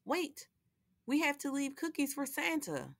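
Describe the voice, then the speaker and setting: A woman's voice: sits high, big pitch swings, measured pace, creaky, voice pushed up, full character voice. A woman voicing an eager little animal girl in a storybook, earnest and keen to help.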